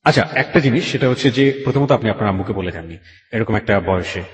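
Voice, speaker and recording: Brisk Bangladeshi male interviewer, echoey sound. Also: lowish voice, lively intonation. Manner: asks clarifying questions, mixes in English. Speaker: radio show host. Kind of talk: probing interview. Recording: echoey room with long reverb.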